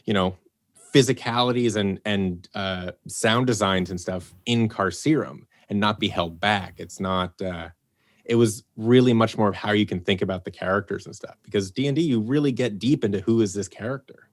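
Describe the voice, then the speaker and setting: Guy on a video call, lowish voice, animated storyteller doing little quote voices. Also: normal speed. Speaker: podcast guest, head writer. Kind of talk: casual chat on a video call.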